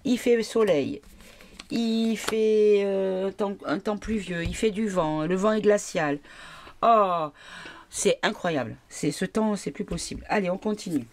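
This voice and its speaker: A woman's voice: medium pitch, a little slow, slight background noise. A woman with a cold, reading tarot cards for her viewers in a casual, hesitant way, often asking the cards questions aloud. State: has a cold. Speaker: tarot card reader.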